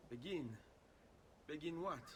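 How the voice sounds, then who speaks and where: A man's voice: a little high, slow, background noise. An actor playing a proud, stubborn young Italian nobleman in a video game, earnest and guarded, with an Italian accent. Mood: curious. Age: young adult. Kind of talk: video game cutscene acting.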